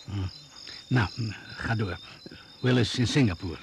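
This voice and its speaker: An actor playing an inquisitive, self-assured doctor in a Dutch radio drama, questioning briskly and with authority. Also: male voice, lowish voice, gravelly, background noise. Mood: alert, curious. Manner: probing, brisk and commanding.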